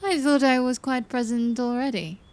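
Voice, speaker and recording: A woman voicing a spirited young princess with a quick, cheeky wit. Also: sits high, darkish tone, no echo, natural voice. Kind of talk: fantasy audio drama acting.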